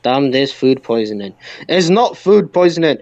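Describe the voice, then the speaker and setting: A man's voice: mid-range voice, sing-song, moderate pace, darkish tone, no room sound, muffled recording. A man co-hosting a podcast in a casual, chatty style about pro wrestling. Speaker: podcast co-host.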